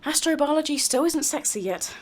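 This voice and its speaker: An actress playing a brisk university vice-chancellor in an audio drama. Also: female voice, high-pitched, animated. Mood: dry, dismissive. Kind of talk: scripted audio drama dialogue.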